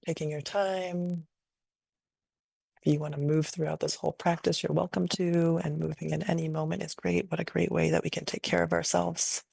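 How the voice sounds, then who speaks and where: A woman leading a guided meditation in a gentle, unhurried, invitational way, improvising softly and leaving long pauses. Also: deep voice, flat delivery, vocal fry, slightly rough, dry recording. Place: online video call session.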